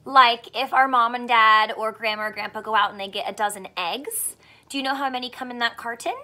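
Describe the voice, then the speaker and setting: A young woman teacher reading aloud to small children and asking them simple questions in an animated way. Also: on the high side, brisk. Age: young adult. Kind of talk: children's read-aloud with a math lesson. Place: small furnished room indoors.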